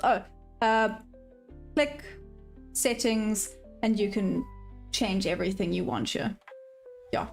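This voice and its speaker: A woman's voice: medium pitch, gravelly. A woman live-streaming her day trading, chatting casually and answering viewer questions as she goes. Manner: upbeat sign-off, friendly to viewers.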